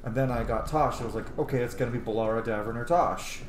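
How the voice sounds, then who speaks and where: A man's voice: mid-range voice, fast talker, background noise. A man giving casual, emotionally invested commentary as he plays a video game. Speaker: gaming streamer. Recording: roomy, game music behind.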